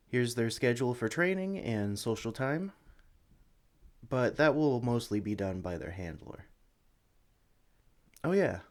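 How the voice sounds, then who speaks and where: A man playing a friendly, excitable young sanctuary employee who chats eagerly and apologetically to a new recruit in a one-sided audio roleplay. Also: medium pitch, natural voice. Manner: chatty, eager, apologetic, with hesitations. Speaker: experienced employee showing a new recruit around. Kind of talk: ASMR roleplay, one-sided conversation with the listener.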